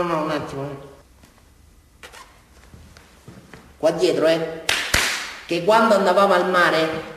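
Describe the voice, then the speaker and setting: Actor as volatile southern Italian prisoner, echoey hall. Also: male voice, sits high, lightly acted. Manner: rambling, volatile, repeats himself. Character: unhinged boastful southern Italian cannibal prisoner confessing to a policeman. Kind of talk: theatrical stage monologue. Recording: echoey hall, distant mic, faint noise.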